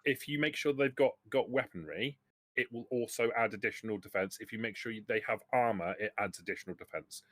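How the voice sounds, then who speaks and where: A man's voice: on the low side, a little fast, fairly bright tone, no echo. A man acting as game master, explaining rules and options to players in a tabletop roleplaying game.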